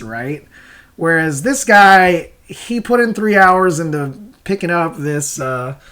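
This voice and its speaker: A man's voice: on the high side, a bit bright. Casual, hesitant man talking through his work. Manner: hesitant, self-questioning, chuckles while explaining. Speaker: comic creator and host. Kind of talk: casual rambling creator commentary.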